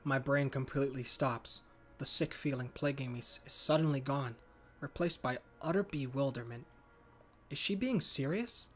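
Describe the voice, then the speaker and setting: Guy reading a horror visual novel out loud, earnest inner-monologue voice, faint music behind. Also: medium pitch, normal speed. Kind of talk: first-person story narration.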